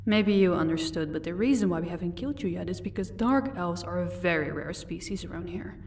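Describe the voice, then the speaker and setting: A woman's voice: fairly low pitch, fairly quick, creaky, darkish tone, distant mic. A woman playing a proud, sardonic vampire captor in an audio roleplay, commanding and dryly sarcastic. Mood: cool, explaining.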